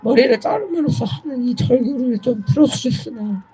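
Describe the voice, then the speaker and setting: A young woman giving an earnest, pleading protest speech, halting and correcting herself as she goes. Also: a little high, animated, crowd noise, poor audio. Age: young adult. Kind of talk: impromptu political rally speech. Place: outdoors at a street protest.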